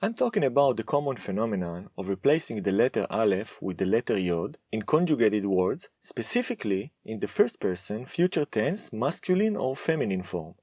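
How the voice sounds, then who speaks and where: A man teaching a Hebrew lesson, speaking slowly and deliberately with firm emphasis. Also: on the low side, very animated, a little mellow, breathy edge, dry recording, clean studio sound. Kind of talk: scripted lesson to camera.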